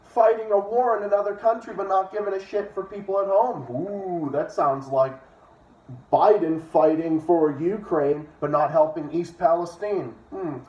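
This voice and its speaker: A man's voice: high voice, moderate pace. A man reading a book aloud and breaking in with opinionated, sometimes profane commentary. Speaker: online reader and commentator. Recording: echoey room, distant dull microphone.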